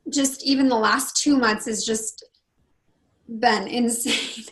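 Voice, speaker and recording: This is a woman with a husky, slightly hoarse voice, pitched a little on the high side. She talks casually as a podcast guest and brings quoted conversations to life with sudden leaps upward in pitch. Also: takes it slowly, rough recording. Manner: expressive, acts out quoted dialogue with big pitch jumps. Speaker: podcast guest, confidence consultant.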